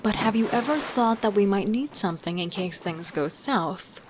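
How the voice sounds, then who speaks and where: A woman's voice: medium pitch, animated, moderate pace, lightly acted. Woman playing a sly female killer, noisy ambience. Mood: teasing, sly. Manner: persuasive, scheming, relishing. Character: cold, thrill-hungry female serial killer, confident and seductive. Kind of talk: audio drama acting with first-person narration.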